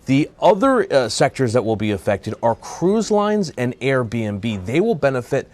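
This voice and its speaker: Man, medium-pitched, news correspondent, formal live report, halting delivery. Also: very animated, darkish tone.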